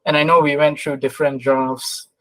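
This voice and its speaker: A man's voice: a little high, level tone, moderate pace, bright, forceful tone, no room sound, rough recording. A man chatting casually as an interviewer, loosely rephrasing his questions as he goes.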